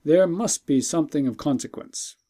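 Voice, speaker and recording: A man's voice: on the high side, moderate pace, mellow, lightly acted. A man voicing a protective young prince in a storybook, speaking formally and with pressing, earnest concern. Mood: concerned, insistent.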